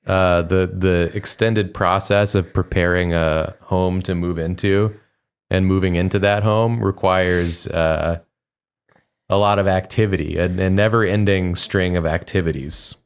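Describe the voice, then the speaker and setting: A man's voice: low voice, steady tone, a little slow, clean tone. A tired man co-hosting a gaming stream, chatting casually and joking with lots of restarts.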